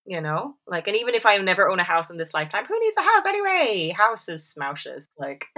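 A woman's voice: mid-range voice, very animated, moderate pace, sharp tone. A woman chatting casually on a podcast, full of fillers and "like"s, who acts out the voices she quotes. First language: English. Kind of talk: casual chat.

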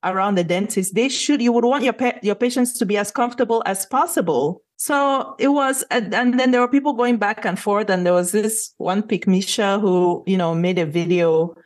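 A woman's voice: medium pitch, normal speed, hardly any pauses. A woman, a podcast co-host, talking casually in an earnest, opinionated way and building her points with rhetorical questions. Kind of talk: casual discussion.

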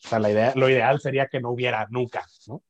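A man's voice: mid-range voice, fairly even intonation, fast, highs cut off, a little hiss or hum. A man with a Mexican accent, co-hosting a podcast in slangy casual chat with casual swearing. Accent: Mexican Spanish. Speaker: podcast co-host.

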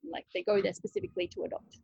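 A woman's voice: very fast, mellow, no room sound, dull audio. A woman who runs a rescue cat cafe, answering interview questions in a chatty way, thinking aloud with many restarts.

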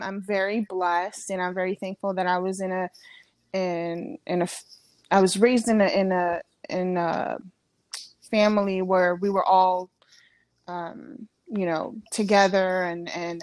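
A woman's voice: medium pitch, dry recording. A woman in her thirties, from Ecuador, talking casually as an interview guest, with lots of fillers and restarts. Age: 30s. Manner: chatty, many fillers and restarts. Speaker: guest, comedian.

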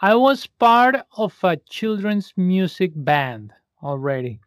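A man's voice: high-pitched, sing-song, slow, dry recording, clean studio sound. A man from Colombia with a Colombian accent, a musician talking as an interview guest, friendly and slipping into Spanish phrases. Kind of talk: interview conversation.

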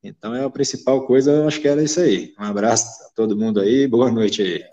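A man's voice: medium pitch, fast, dark tone, lo-fi sound. A man in his thirties, a glazing business owner, talking frankly and chattily on a live stream. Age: 30s. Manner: frank, chatty, many filler "né". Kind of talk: casual live-stream talk.

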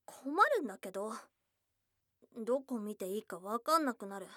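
High, slow, bashful goblin girl played by a woman. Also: no room sound, clean studio sound. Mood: bashful, uneasy. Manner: tomboyish casual speech, bashful, affectionate. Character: shy, blunt young goblin girl newly in love. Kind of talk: Japanese ASMR situation voice drama, intimate. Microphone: close dry studio mic.

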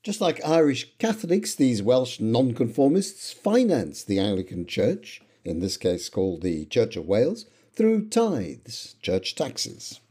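A man hosting a history podcast, reading a scripted narration with quoted passages in a measured way. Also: mid-range voice, slightly rough. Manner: measured, lively intonation, reading quotations.

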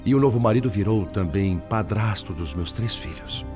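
A low male voice with expressive swings plays an anguished spirit in a Brazilian radio drama , acted by a man. He speaks formally and eloquently with a Brazilian accent, weighed down by sorrow. Music plays clearly behind him. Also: close to an everyday voice. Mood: anguished, ashamed. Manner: formal, eloquent, sorrowful, halting when troubled. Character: Pedro, anguished male spirit, once a principled lawyer.

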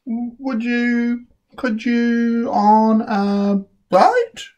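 A performer voicing an eager, persistent little pest with a pleading, coaxing manner in an animated picture-book read-aloud. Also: mid-range voice, drawn-out words.

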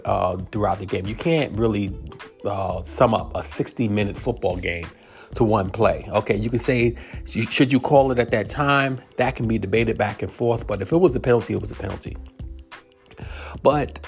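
A man's voice: fairly low pitch, vocal fry, a little mellow. A man in his fifties from New York, hosting a solo sports podcast and talking in a rambling way with many fillers and restarts. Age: 50s. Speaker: podcast host. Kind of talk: solo sports commentary monologue.

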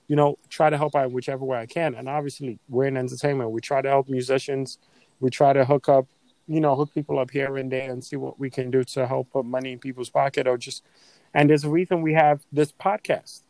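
A man's voice: mid-range voice, fairly even intonation, fairly quick, creaky, a little mellow, no echo, rough recording. A man co-hosting a podcast, making his points earnestly and persuasively in casual conversation. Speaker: podcast co-host.

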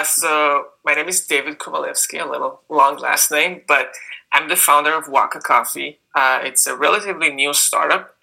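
A young man with an Israeli accent, a startup founder answering interview questions casually and haltingly, with many fillers. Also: fairly high pitch, vocal fry, sharp tone, poor audio.

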